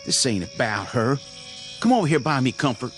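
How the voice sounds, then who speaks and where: Actor as bossy, hot-headed Southern country brother. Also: male voice, medium pitch, very animated, brisk, music in the background, close to an everyday voice. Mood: angry, bossy. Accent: rural Southern US. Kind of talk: radio drama acting.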